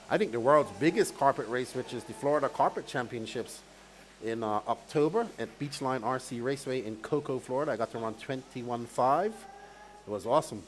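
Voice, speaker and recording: A male race commentator with a Bermudian accent chats casually to a live stream. His voice sits at a middling pitch. Background noise from the track is faintly audible behind him. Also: low-quality recording. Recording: clear background noise, outdoor event ambience.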